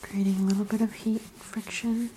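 A woman speaking in soft, breathy ASMR style, often dropping into a whisper as she gives soothing, unhurried guided relaxation. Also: mid-range voice, flat delivery, a little hiss or hum, low-quality recording. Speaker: ASMR practitioner and show host.

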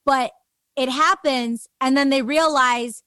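A woman with a distinctly high voice hosts a relaxed interview. She talks in a reflective, conversational way, responding with her own thoughts. The recording is dry, with no room sound. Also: slow, smooth, no echo. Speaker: podcast host, interviewer.

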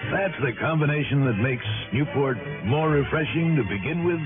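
Loud music plays behind a male announcer on a tinny old radio recording. He sells in a smooth, drawn-out 1960s commercial style with a very lively melody to his phrases. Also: on the high side. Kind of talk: 1960s cigarette radio advertisement.

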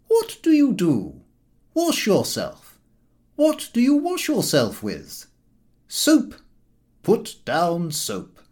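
Man putting on a high-pitched bossy old uncle. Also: heavily acted. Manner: emphatic, step-by-step instructing in short commands. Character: methodical, bossy old uncle dictating packing advice. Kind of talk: audiobook character voice.

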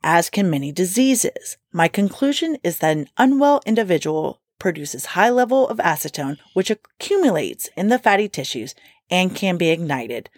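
A woman's voice: on the low side, big pitch swings, normal speed, dry recording, clean studio sound. A woman co-hosting a casual podcast, telling a strange case with playful swearing and reading quotes aloud. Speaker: podcast co-host telling a true-crime story. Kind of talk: casual storytelling chat.

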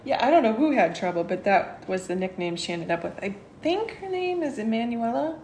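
A woman co-hosting a casual chat show, reacting warmly with short remarks and laughter while her partner tells stories. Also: fairly high pitch, sing-song, a little fast. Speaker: podcast co-host. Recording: echoey room with faint noise.

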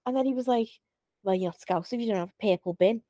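A woman's voice: a little high, fast, warm tone, dry recording, clean studio sound. A woman with a Liverpool accent co-hosting a podcast, chatting casually and acting out the stories she tells. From Merseyside, England. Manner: chatty storyteller, acts out quotes. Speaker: podcast co-host. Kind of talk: casual chat. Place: home recording with a faulty mic.

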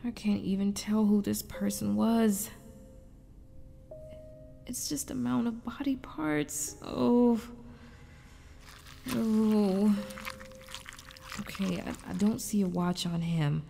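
A woman's voice: mid-range voice, slow pace, creaky, pauses often, warm tone, soft background music. A woman playing an anguished but determined wife and mother in an audio drama, narrating in a confiding, reflective way. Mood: uneasy, focused. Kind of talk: first-person dramatic narration.

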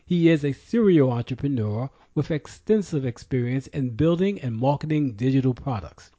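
Mellow-voiced man hosting a podcast. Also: mid-range voice, expressive, normal speed. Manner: reads guest intros, friendly questions. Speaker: podcast host. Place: New York. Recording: dull lo-fi audio.

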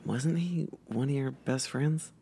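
A woman playing a tabletop character, quick and joking. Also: rumbling low, gravelly, warm tone, breathy edge. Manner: quick, joking, lots of laughter. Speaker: player. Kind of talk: in-character roleplay at a tabletop game.

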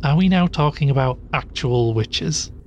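A man's voice: on the high side, normal speed, darkish tone, lo-fi sound, slight background noise, lightly acted. A man playing an inquisitive, sceptical show host in an audio drama, conversational, probing and a little impatient.

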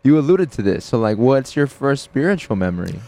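A man's voice: mid-range voice, very animated, brisk, dark tone. A man interviewing a guest, asking easygoing questions and reacting with short remarks like "wild" and "nice".

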